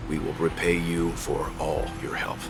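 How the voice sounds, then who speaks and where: An actor playing a grave, courteous male travelling companion in a video game, speaking in a formal, measured way. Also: a bass voice, level tone. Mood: grateful, solemn. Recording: loud music under the voice.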